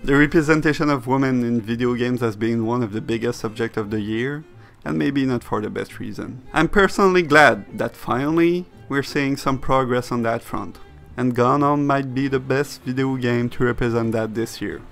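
A man's voice: mid-range voice, normal speed, vocal fry, a bit bright. A man reading a scripted video essay that reviews games, in the role of narrator. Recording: music bed behind the voice. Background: music.

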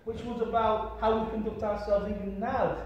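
A man's voice: sits high, little pitch movement, normal speed, dull audio, slight background noise. A middle-aged man, a martial artist giving an interview. He talks earnestly and circles back to his points, often checking in with "right?" and "you understand me?". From Britain. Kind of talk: reflective conversational storytelling. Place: small room, sitting on a sofa. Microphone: distant camera mic. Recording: very echoey room, mic far off.

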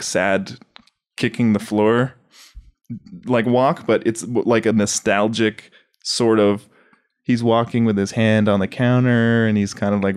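A man chatting casually as a podcast host, rambling through comedy sketch pitches and acting out bits as he explains. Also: clean tone. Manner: rambling, acts out lines as he explains.

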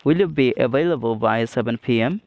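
A man teaching a scripted English lesson, reading out phrases in a deliberate, phrase-by-phrase way. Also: medium pitch, dry recording, narrow, tinny audio.

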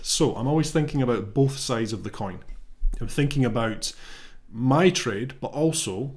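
A man in his thirties with a slight cold, explaining a trade in a relaxed, thinking-aloud way from his home office webcam. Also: mid-range voice. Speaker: trading educator. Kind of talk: casual explanatory live-trade walkthrough.

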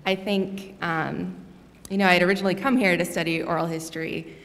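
A young woman graduate student speaking on a university panel at a stand mic, in a measured, steady way. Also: on the low side. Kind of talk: panel discussion. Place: lecture hall with audience. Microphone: stand mic at a panel table. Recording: long reverb of a hall.

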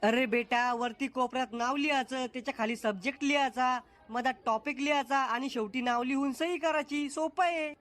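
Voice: high-pitched, steady tone, moderate pace, hardly any pauses. A voice actor playing a strict rural male schoolteacher, blunt and scolding. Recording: loud music under the voice.

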